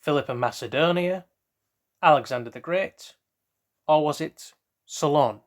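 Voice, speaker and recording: A man's voice: fairly high pitch, measured pace. A man hosting a casual trivia quiz, reading out questions and then rambling loosely through hesitant asides about the answers. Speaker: quiz host.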